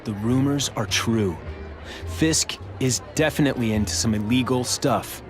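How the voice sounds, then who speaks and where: A man's voice: mid-range voice, a little slow, over music, close to an everyday voice. An actor playing a young male tech heir, friendly but busy and a bit distracted.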